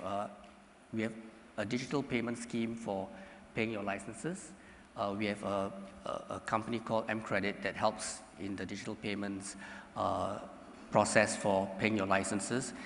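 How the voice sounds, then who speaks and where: A man from Singapore, speaking as a panelist in a measured, halting way with many fillers. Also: fairly low pitch, fairly even intonation, gravelly, a bit bright, slight background noise. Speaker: panelist, government economy official. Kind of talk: conference panel answer. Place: conference hall panel stage. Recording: reverberant conference hall.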